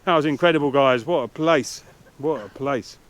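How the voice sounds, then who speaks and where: A man's voice: mid-range voice, moderate pace, fairly bright tone. A man hosting a travel vlog, chatting casually to his viewers.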